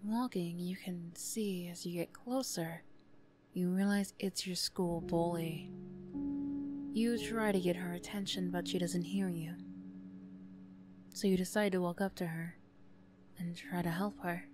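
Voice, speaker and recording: Soft-spoken woman narrating ASMR. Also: on the low side, steady tone, measured pace, pauses often. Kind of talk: soft scripted ASMR narration in second person. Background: music.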